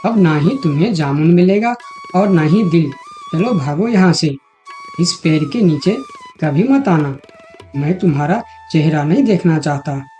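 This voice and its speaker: A man playing a clever, friendly monkey in a moral-story cartoon, speaking in an earnest, expressive way. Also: on the high side, unhurried, soft background music. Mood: scolding. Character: clever, friendly male monkey, trusting yet shrewd.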